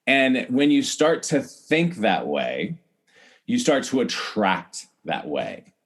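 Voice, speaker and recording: A man speaking as a podcast guest in a casual, earnest, explanatory way, often halting to correct himself. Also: mid-range voice, huge pitch swings, fairly bright tone. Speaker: podcast guest, celebrity hair stylist. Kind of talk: casual conversational interview.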